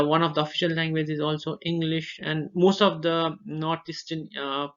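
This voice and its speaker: A young man from Darjeeling, India, whose first language is Nepali, giving an informal educational presentation and listing items one by one, pausing to recall names. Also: on the high side, fairly bright tone. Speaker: student presenter. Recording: dull lo-fi recording.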